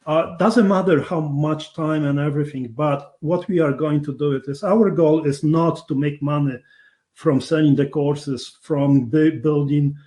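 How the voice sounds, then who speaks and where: Man, Polish accent, webinar host, persuasive, warm mellow voice, somewhat high. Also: steady tone, measured pace. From Poland. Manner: persuasive, keeps asking the audience "right?". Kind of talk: online webinar pitch.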